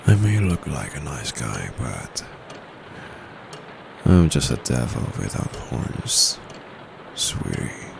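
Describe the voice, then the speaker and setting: Male VA as teasing secret-dominant tutor, ASMR roleplay, extremely deep, gravelly, close-mic. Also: full character voice. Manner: soft-spoken, teasing, slow and close. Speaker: voice actor. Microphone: close condenser mic. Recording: steady background noise with traffic.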